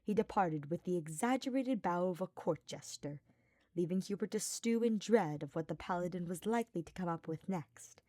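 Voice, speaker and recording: A woman's voice: fairly low pitch, dark tone, dry recording. A woman reading a fantasy story as scripted audiobook narration. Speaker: podfic reader.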